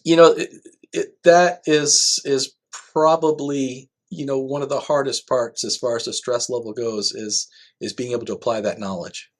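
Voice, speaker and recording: A man's voice: mid-range voice, fairly even intonation, normal speed. A man speaking as an air traffic control manager in a podcast interview, explaining things conversationally with everyday examples.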